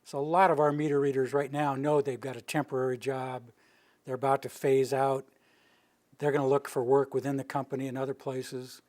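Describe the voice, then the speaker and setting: An older man near retirement, from the Rocky Mountain region, giving an informal public presentation in a meeting room with a steady, monotone delivery. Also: mid-range voice, fairly quick. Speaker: utility planning director giving a public presentation. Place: meeting room with a live audience.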